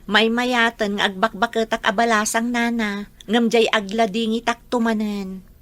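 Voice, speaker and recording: An actress with an Ilocano accent playing a stubborn, heartbroken young daughter in a radio drama, speaking firmly and emotively. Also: female voice, on the high side, steady tone, moderate pace, fairly bright tone, no room sound, lightly acted. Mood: stubborn, exasperated.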